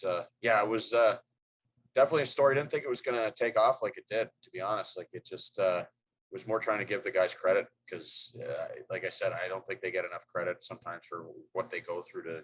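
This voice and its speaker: A man's voice: sits low, rough recording. A middle-aged man, a hockey head coach, answering press questions in a loose, rambling way with many fillers and restarts.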